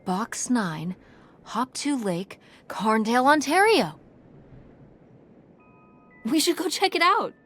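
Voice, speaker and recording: An actress voicing a curious, eager teenage daughter on a road trip in a video game, talking in quick, insistent bursts of questions. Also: female voice, on the high side, big pitch swings, fairly bright tone, breathy edge, heavily acted. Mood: excited.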